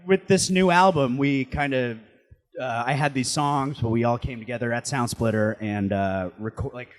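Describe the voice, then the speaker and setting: A man chatting casually as an interviewee, loose and halting as he thinks aloud. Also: mid-range voice. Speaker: band guitarist and singer, interviewee.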